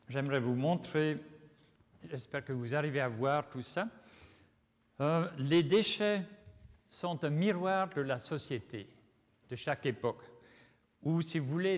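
Man from Switzerland lecturing in a hall. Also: a little high. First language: not French. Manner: measured, deliberate, with occasional slips. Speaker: guest lecturer. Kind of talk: conference lecture. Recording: long reverb of a lecture hall.

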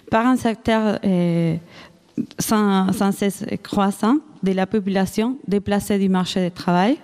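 A woman's voice: mid-range voice, darkish tone, clean tone. A woman from Argentina with an Argentine Spanish accent, speaking French as a second language, giving an academic conference talk with frequent restarts and fillers. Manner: hesitant, many restarts and fillers. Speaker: researcher presenting at a conference.

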